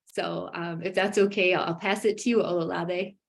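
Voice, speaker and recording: A woman's voice: fairly low pitch, steady tone, normal speed, vocal fry. Upbeat woman hosting a webinar. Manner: upbeat, many fillers, bright emphatic rises. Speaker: webinar host for a graduate program. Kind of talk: online information session presentation.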